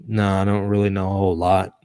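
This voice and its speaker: Guy from the southern us just chatting on a stream, lowish voice, really dry sound, laughs easy. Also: completely flat delivery, quick pace, mellow, clear, resonant tone, no room sound. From southern US, Mississippi and Louisiana area. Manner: laughs easily. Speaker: host of a religious discussion stream. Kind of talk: casual chat.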